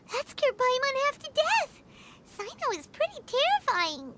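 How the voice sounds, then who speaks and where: A woman voicing a tiny, excitable fairy-like game companion with a squeaky, childlike and chatty voice. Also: takes it slowly, very noisy, exaggerated voice. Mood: frightened, pouting. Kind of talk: English video game voice acting. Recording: loud game music behind.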